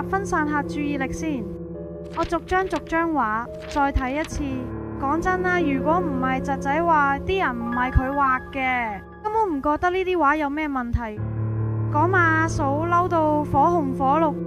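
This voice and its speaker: High-pitched Hong Kong Cantonese woman storyteller. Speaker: narrator and main character of a horror story. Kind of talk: first-person scripted storytelling with acted dialogue.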